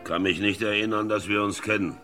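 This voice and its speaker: An actor playing a gruff, irritable, boastful man, a farmer turned oil millionaire, blunt and curt in a vintage German crime radio play. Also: fairly low pitch, steady tone, brisk, pushed and bright, soft background music. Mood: gruff, grumbling.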